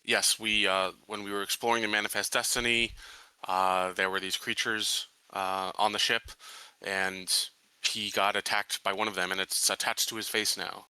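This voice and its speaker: Bright, low-voiced man playing a tabletop game. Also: little pitch movement, normal speed, clean tone, no echo, rough recording. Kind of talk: casual in-character game talk.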